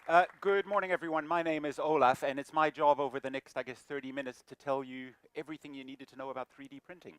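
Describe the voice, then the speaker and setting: A middle-aged man from New Zealand giving a lively, self-deprecating lecture as guest speaker. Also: mid-range voice, quick pace, few pauses, no room sound. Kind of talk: lecture talk. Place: lecture room.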